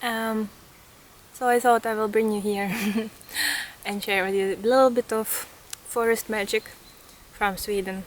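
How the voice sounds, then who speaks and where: A woman's voice: medium pitch, fairly even intonation, a little slow, a little hiss or hum. A woman in her thirties with a Ukrainian accent, talking in an earnest, reflective way to camera as a vlogger. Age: 30s. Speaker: vlogger and artist. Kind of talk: casual reflective vlog talk to camera. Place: outdoors in a forest.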